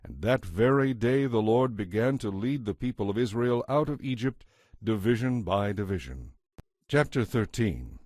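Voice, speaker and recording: A man narrating a dramatized Bible reading in a measured, solemn, scripted manner. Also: lowish voice, lively intonation, darkish tone, no room sound, studio quality.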